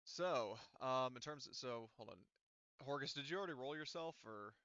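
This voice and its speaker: A man's voice: medium pitch, lively intonation, normal speed, pushed and bright, smooth, no room sound, muffled recording. A man working as game master in casual tabletop session talk, thinking aloud and correcting himself as he goes.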